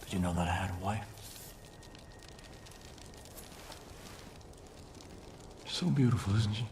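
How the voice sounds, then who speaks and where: A man playing a soft-spoken, grieving and devout cult leader in a game cutscene, speaking in a halting, preacherly hush that often drops to a whisper. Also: sits low, noisy background. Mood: wistful. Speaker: villain character. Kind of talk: dramatic game cutscene monologue.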